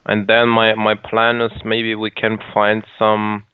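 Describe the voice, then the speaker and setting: A man speaks on a business call in an even, monotone voice that sounds smooth and fairly low. His delivery is measured and a little hesitant. The sound is narrow and phone-like. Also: no echo. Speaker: client interviewing an assistant. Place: online call. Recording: thin phone-like call audio, dry.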